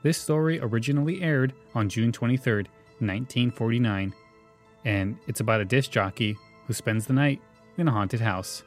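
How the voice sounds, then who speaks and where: Male podcast host over soft music. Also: mid-range voice, moderate pace. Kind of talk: scripted introduction.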